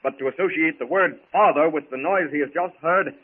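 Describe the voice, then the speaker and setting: Dramatic 1930s serial narrator, man, raised pitch, florid storytelling, thin phone-like old recording. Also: a little fast. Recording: tinny, hissy 1930s radio recording.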